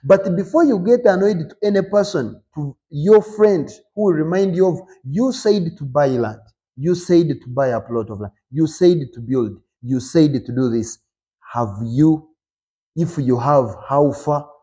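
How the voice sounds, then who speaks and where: Emphatic motivational man, mellow voice. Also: on the high side, expressive, measured pace. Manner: rhetorical questions with frequent "hmm?"; emphatic, rises sharply on key points. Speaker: show host. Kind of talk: motivational talk to viewers.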